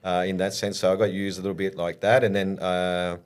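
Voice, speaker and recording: A man, middle-aged, telling stories from his playing days in a relaxed interview, with many pauses and restarts. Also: low voice, clean tone. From Australia. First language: English. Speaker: football coach and former player, podcast guest.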